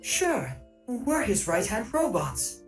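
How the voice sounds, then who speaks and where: Woman playing a formal robot servant, lively pitch, slow, music under. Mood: prim. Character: polite servile robot henchman. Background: music score.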